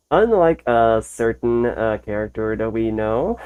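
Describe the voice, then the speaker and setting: A woman's voice: extremely low pitch, warm tone, clean tone. A woman hosting a reaction video with casual, amused commentary and hesitant musing. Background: faint music.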